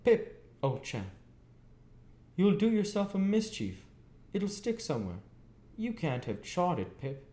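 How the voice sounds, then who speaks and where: Man putting on a simple kindly workman, high pitched, anxious, rustic, earnest, storybook, echoey room. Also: big pitch swings, slow pace, warm tone, full character voice. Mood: worried remonstrance. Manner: earnest, muttering, rustic ungrammatical speech.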